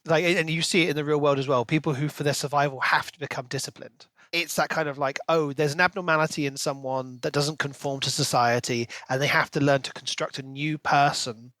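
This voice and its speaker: Male podcast co-host, slightly high voice, dry close sound, explains his reasoning in casual book discussion. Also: steady tone, a little fast, hardly any pauses, pushed and bright, no room sound. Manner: analytical, explanatory.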